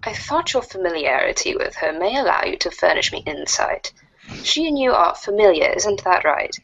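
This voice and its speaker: A woman plays a curious alien troll girl, puzzled by humans. The voice is slightly rough yet lively in pitch, and the phrasing is formal, wordy and stilted, as read in an amateur webcomic drama. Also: normal speed, lo-fi sound, exaggerated voice. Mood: inquisitive. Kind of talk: amateur reader's theater of a webcomic.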